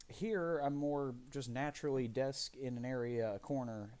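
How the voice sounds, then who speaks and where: A man live streaming from his home desk, his voice raspy from phlegm, rambling casually with many fillers and restarts. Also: medium pitch, normal speed, darkish tone. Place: desk corner of a home living room.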